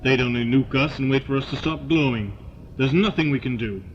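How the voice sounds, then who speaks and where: An actor playing a hawkish, plain-spoken military colonel in an audio drama, talking in a colloquial way. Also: male voice, mid-range voice, a little fast, a little hiss or hum, lightly acted. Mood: bitter. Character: hawkish American military colonel, informal and bitter. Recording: dull lo-fi audio drama, loud music underneath.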